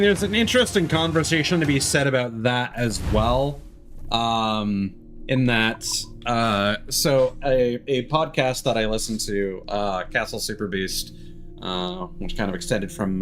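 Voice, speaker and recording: A man's voice: fairly low pitch, a little slow, fairly bright tone, soft background music. A man doing casual live stream commentary on a game, rambling and swearing casually.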